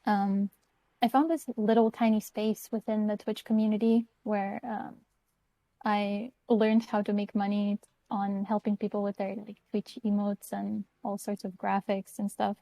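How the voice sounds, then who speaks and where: Woman from Croatia, guest artist, easygoing, chatty, warm mellow voice, dry sound. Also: mid-range voice, level tone, measured pace, gravelly, no echo. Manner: easygoing, chatty, light laughs. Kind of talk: casual interview answers.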